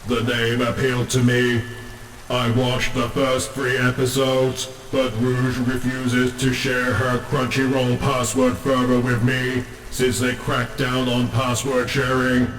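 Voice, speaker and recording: A man's voice: medium pitch, monotone, takes it slowly, fairly bright tone, roomy sound, recorded from a distance, a little hiss or hum, poor audio. A man playing a pompous, literal-minded combat robot who speaks in stilted, grandiose phrases in a comedic audio drama. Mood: earnest. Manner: stilted, grandiose, refers to itself as unit.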